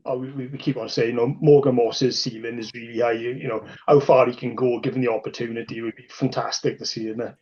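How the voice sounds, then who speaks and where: Man, podcast co-host, rapid talker, ribbing, muffled sound. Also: mid-range voice, fairly even intonation, a little mellow, husky. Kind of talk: casual podcast chat. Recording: dull lo-fi audio.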